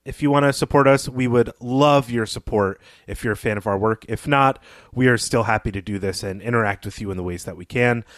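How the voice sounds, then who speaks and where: A man's voice: mid-range voice, a little fast, no echo, clean studio sound. A man co-hosting a literary podcast, speaking conversationally with thoughtful, academic phrasing. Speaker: podcast co-host.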